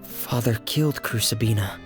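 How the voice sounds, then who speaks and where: An actor playing a somber, earnest young man in a video game, speaking in a measured, reflective way. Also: fairly low pitch, lightly acted. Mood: grave. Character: somber soft-spoken young man, orphan raised in harsh house. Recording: game audio under music.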